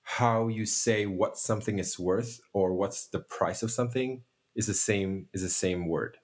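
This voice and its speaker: A man's voice: lowish voice, unhurried, husky. A man from Indonesia whose first language is Indonesian, a podcast guest telling a story in a chatty, laughing way. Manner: laughing, chatty, restarts often. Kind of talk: casual storytelling.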